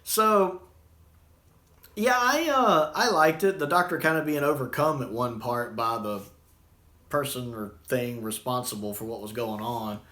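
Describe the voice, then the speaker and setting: A man's voice: mid-range voice, measured pace, bright, forceful tone. Man reviewing casually in an echoey room. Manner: rambling, conversational.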